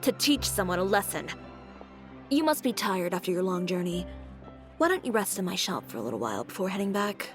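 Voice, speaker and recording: A woman's voice: on the low side, big pitch swings, brisk. A woman voicing a self-assured young fashion designer in a video game, dry and assertive with a fiery edge. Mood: coolly defiant. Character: cool, sharp-tongued young female fashion designer with fiery temper. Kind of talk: video game character acting. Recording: faint music behind the voice.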